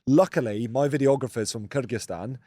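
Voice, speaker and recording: Man, casual podcast co-host, mid-pitched, dry close sound. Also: a little fast, dry recording. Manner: laid-back, slangy storytelling, often trailing off quietly. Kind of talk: casual chat.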